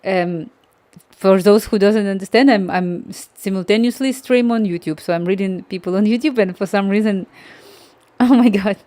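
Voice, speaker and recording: Softly mellow woman coding live. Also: medium pitch, moderate pace. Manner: thinking aloud, reading chat, many restarts. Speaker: coding streamer. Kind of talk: live-stream coding chat.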